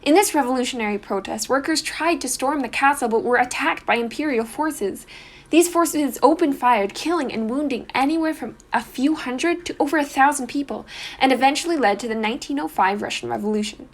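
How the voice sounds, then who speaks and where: A woman's voice: high-pitched, brisk. A young woman reading scripted educational narration as a student presenter.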